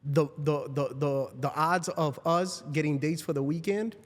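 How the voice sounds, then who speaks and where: A man's voice: a little high, unhurried. Casual man in his thirties, reverberant room. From Ecuador, grew up in Queens, New York. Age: 30s. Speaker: podcast guest, real estate entrepreneur. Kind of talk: casual chat. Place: indoor podcast studio. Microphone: close boom-arm studio microphone. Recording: roomy studio with long reverb.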